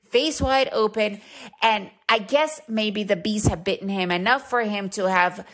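Travel podcast lady chatting away excitedly, kinda high voice, lots of can you believe that. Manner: enthusiastic, uses rhetorical questions. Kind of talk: casual monologue.

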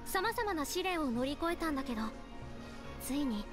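A woman playing a gentle, devout young woman in a Japanese anime game, speaking earnestly and politely. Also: high voice, animated, moderate pace, music in the background. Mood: solemn storytelling. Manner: earnest, polite feminine phrasing.

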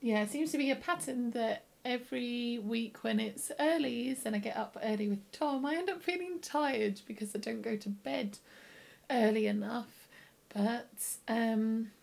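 Croaky, tired young woman chatting. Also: a little high, measured pace. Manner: chatty, rambling, with soft laughs. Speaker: illustrator vlogger. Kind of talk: casual chat to camera. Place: home studio room with plants.

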